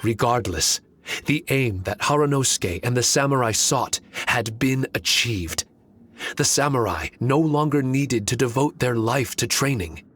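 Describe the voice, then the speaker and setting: An actor voicing a solemn, wistful and devoted guardian spirit in a video game, with formal, reflective delivery. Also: male voice, medium pitch, expressive, a little slow, fairly bright tone, slight background noise. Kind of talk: scripted dramatic game dialogue.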